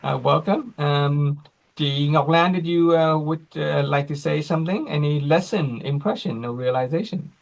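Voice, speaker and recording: A man hosting an online class on a video call, slow and reflective, with frequent fillers. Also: fairly high pitch, gravelly.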